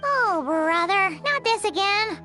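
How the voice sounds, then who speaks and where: A woman's voice: extremely high pitch, expressive, slow, heavily acted. A woman voicing a small, chirpy, childlike female companion in a video game, blunt and exclamatory. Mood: concerned, exasperated. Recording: music playing behind the voice.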